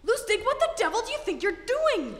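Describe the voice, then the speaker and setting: A woman's voice: very high voice, very animated, fairly quick, soft background music. A woman playing a stern male spaceship captain in an old-time science fiction radio play. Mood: stern, commanding. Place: theatre stage, live performance. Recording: echoey hall, live stage recording.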